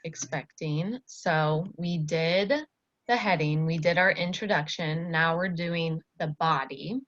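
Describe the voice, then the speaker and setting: Slow-paced American woman teacher, slightly low voice. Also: fairly even intonation, a bit bright. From Iowa, United States. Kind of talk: informal instruction to students. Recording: dull lo-fi audio with faint noise.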